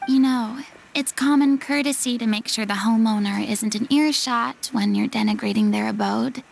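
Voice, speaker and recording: High-voiced, haughty theatrical young woman. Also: normal speed, vocal fry. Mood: reproachful. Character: proud, theatrical young female former idol, haughty but easily flustered. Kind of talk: video game voice acting.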